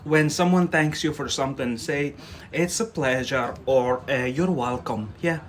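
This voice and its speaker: A man's voice: mid-range voice, unhurried. A young man, not a native English speaker, teaching English on a live stream, chatting casually with viewers, often laughing and tagging on "yeah?".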